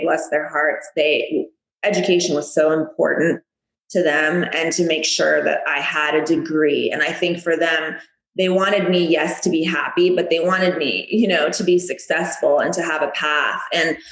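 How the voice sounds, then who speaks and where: A woman's voice: low-pitched, fairly even intonation, normal speed, fairly bright tone, echoey room, rough recording. A woman in her thirties from the United States, a podcast guest who chats in a bubbly way and laughs often. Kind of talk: casual interview.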